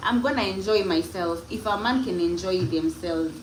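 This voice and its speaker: Kenyan woman, young, emphatic livestreamer, echoey room, clear room noise behind. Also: medium pitch, normal speed. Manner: defiant, emphatic, repeats herself. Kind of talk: casual livestream monologue. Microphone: phone.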